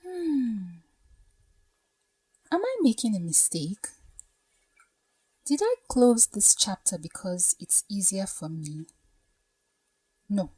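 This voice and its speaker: A woman playing a troubled young bride-to-be in an audio drama, speaking softly in a hushed, wistful way. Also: mid-range voice, sing-song, takes it slowly, long pauses, no echo, heavily acted. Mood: wistful, torn. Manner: soft, breathy, hushed delivery.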